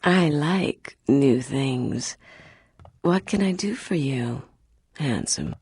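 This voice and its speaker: Very husky, low seductress. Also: female voice, animated, very slow, darkish tone, no echo, clean studio sound, exaggerated voice. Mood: seductive. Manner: breathy, lingering seductive delivery. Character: sultry flirtatious tavern dancer, vain and seductive. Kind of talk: video game character acting.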